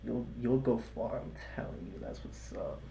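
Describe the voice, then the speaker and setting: A man's voice: mid-range voice, animated, moderate pace, gravelly, warm tone, a little hiss or hum, rough recording. A man with a light voice hosting a live trading stream, chatting casually with viewers and cheering on his trades. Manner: hyped, cheering and chanting during trades.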